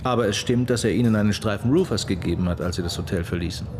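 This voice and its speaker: An actor playing a methodical, persistent police detective questioning a witness in a matter-of-fact way in a German radio crime drama. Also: male voice, on the low side, fast talker, noisy background, close to an everyday voice. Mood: probing, insistent.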